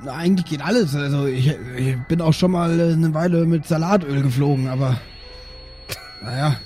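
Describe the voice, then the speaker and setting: A man playing an easygoing old spaceship father who speaks hesitantly and haltingly in an improvised audio play. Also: on the high side, warm tone, soft background music, lightly acted.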